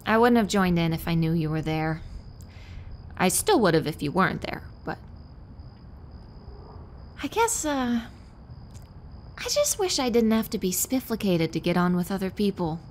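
A woman's voice: lowish voice, big pitch swings, normal speed, pauses often, slight background noise. An actress playing a blunt, world-weary woman in an audio drama, dry and frank.

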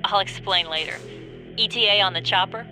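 A woman playing a brisk, wry mission-support agent in a video game. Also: medium pitch, lightly acted, poor audio. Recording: faint music behind.

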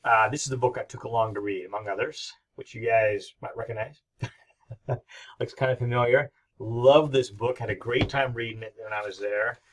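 A man's voice: mid-range voice, fairly bright tone, a little hiss or hum. A middle-aged man giving a recorded history lecture from his home office, talking in a rambling, enthusiastic way with many fillers. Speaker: history professor.

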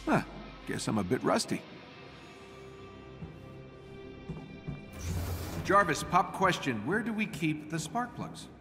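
A man's voice: on the high side, big pitch swings, moderate pace, gravelly, over music. A middle-aged American man playing a cocky, wisecracking genius inventor hero in a video game, flippant and sarcastic. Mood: breezy, joking. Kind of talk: game cutscene acting.

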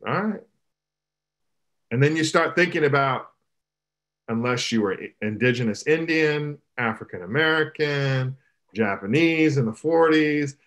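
A middle-aged man, a pastor, speaking emphatically in an animated panel discussion over a video call from his home. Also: medium pitch, unhurried. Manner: emphatic preaching cadence, gestures broadly. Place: home room, indoors. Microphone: video call, webcam from below.

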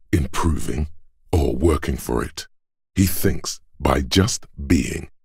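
A man voicing a detective's inner logic in a video game, cold, analytical and condescending, speaking in a measured, deliberate way with a deep, husky, gravelly voice. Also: no echo, studio quality, exaggerated voice. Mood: detached disdain. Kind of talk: scripted game narration.

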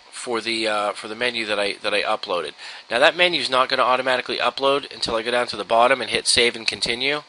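An American man giving an informal software tutorial, explaining step by step in a casual, instructional way. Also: mid-range voice, a little hiss or hum, rough recording. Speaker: software trainer. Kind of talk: informal screen-share tutorial. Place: indoors, at a computer.

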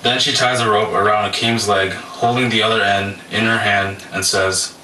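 A man's voice: lowish voice, steady tone, moderate pace, a little hiss or hum. A man narrating a puppet-show folktale as a scripted story. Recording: echoey, distant, loud music behind.

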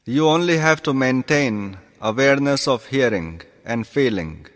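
A man teaching yoga through guided meditation, calm and deliberate, giving instructions in short measured phrases. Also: mid-range voice. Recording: long reverberant hall sound.

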